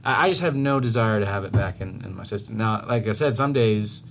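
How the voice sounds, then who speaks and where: A man co-hosting a podcast in casual chat, rambling with many restarts, swearing freely and getting animated as he tells stories. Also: lowish voice, a little fast, gravelly, slight background noise. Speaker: podcast co-host.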